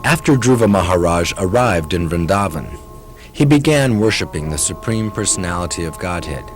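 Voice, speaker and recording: A man's voice: deep voice, expressive, normal speed, faint music behind. A man narrating a scripted devotional story as the narrator of a puppet show.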